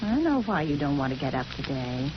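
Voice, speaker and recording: A nagging, no-nonsense middle-aged wife, with pitch that swings widely as she scolds. The old radio drama recording is muffled and thick with noise. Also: very animated, a little fast. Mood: scolding. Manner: scolding, insistent. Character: nagging, no-nonsense wife of a small-town mayor. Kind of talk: 1940s radio acting.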